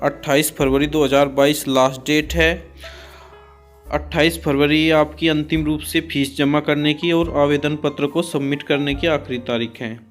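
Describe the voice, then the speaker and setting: High-pitched man explaining, even tone. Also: moderate pace, faint music behind. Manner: methodical, restates points for clarity. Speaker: exam and recruitment explainer, host. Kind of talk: explanatory informational narration.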